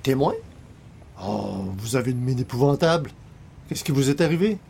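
A man's voice: medium pitch, animated, moderate pace, creaky, darkish tone, slight background noise. A man acting as a casual, matter-of-fact party guest in a radio comedy, speaking in a hesitant, conversational way.

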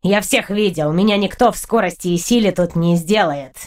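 Woman voicing a grumbling, cocky big tomcat, pitched low. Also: moderate pace, a little mellow, close to an everyday voice. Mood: boastful, commanding. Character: boastful grumpy big talking wild male cat.

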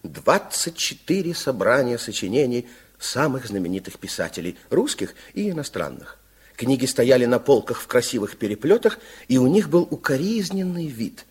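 A man narrating a 1960s radio play as a wry, theatrical storyteller with expressive scripted delivery. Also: medium pitch, moderate pace, breathy edge.

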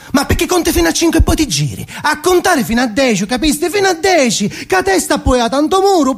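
An actor playing a simple, tender, excitable boy in a theatrical radio monologue, with a Sicilian accent, moving between hushed recollection and shrill outbursts. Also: exaggerated voice. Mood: playful, bickering.

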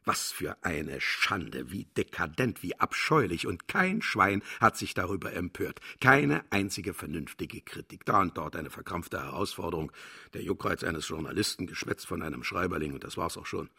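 A man's voice: sits low, sing-song, moderate pace, creaky, pushed and bright, husky, exaggerated voice. A man playing a vain, contemptuous philosopher in a radio play, declaiming and lecturing grandly. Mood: scornful. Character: pompous, cynical male philosopher, vain and contemptuous. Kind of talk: German radio play acting.

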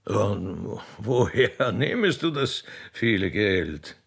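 Man acting a gravelly, lordly old Austrian emperor. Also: on the low side, very animated, very slow, fairly bright tone, exaggerated voice. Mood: amused, indulgent. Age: older. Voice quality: gravelly, husky. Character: genteel old emperor, condescending, amused and kindly. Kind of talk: radio play character acting.

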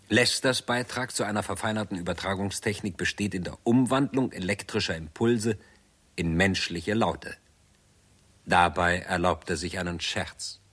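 A man narrating a 1960s radio drama as a sober, detached chronicler, measured and formal. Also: low voice, fairly bright tone, husky.

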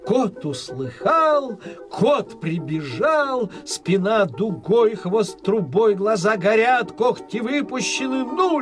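High-pitched, animated male storyteller. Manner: expressive, dramatized storytelling. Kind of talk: scripted folk tale narration.

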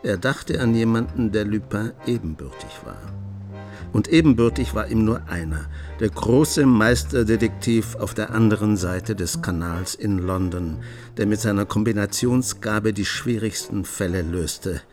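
An actor narrating a radio play and playing a curious writer, with calm, measured storytelling. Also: male voice, fairly low pitch, faint music behind. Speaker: narrator and author figure.

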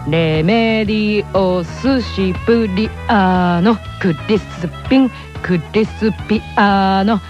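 A woman's voice: mid-range voice, natural voice. A woman playing a composed, confident boy tennis player in an anime radio drama. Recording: dull audio with music behind.

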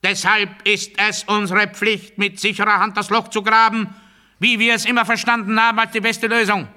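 A regimented man obsessed with obedience in a literary radio play. His voice sits rather high, with a very sharp, forceful edge. He delivers absurd doctrine deliberately, as a declamation. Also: little pitch movement. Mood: strident proclamation. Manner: deliberate, declamatory, swinging from hushed confiding to strident proclamation.

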